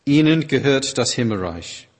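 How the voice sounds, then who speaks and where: A man reading a Bible passage aloud in a solemn, measured way. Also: medium pitch, animated, long reverb tail. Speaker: scripture reader.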